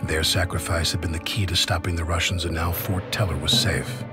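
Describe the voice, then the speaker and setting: A man playing a somber American veteran soldier, narrating war memories in a grave, measured way for a game. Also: low voice, level tone, over loud music, natural voice. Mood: grave, reflective. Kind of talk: scripted dramatic game narration.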